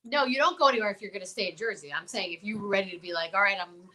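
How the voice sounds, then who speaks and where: A middle-aged American woman co-hosting a talk show in casual, expressive chat full of exclamations. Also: mid-range voice, very fast, crowd noise.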